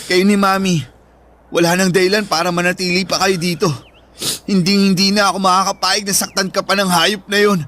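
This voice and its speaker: An actor playing an emotional, protective older brother with a Filipino accent in a radio drama, pleading and earnest. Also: male voice, sits high, flat delivery, a little fast, bright, forceful tone, a little hiss or hum. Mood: tender, resolute. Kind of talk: Tagalog radio drama acting. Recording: background music throughout.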